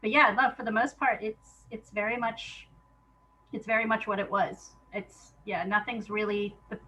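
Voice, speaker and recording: A woman with a bright, clear-cut voice at a middling pitch speaks as a guest in a casual interview. She is informal and works out her answers as she goes. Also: a little hiss or hum, rough recording. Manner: informal, thinking aloud. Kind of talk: casual chat.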